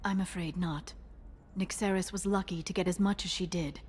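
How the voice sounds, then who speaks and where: A woman playing a guarded, driven young alien information broker bent on revenge, in an earnest, measured and intense manner for a video game. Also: lowish voice, fairly even intonation, darkish tone, breathy edge. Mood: businesslike, urgent. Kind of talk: cinematic video game voice acting.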